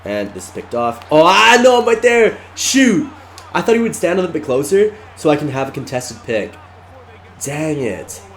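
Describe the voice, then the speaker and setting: A congested man narrating his own video game match live, excitable and shouting at close plays. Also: on the high side, moderate pace, slight background noise. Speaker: gaming YouTuber. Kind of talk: live gameplay commentary.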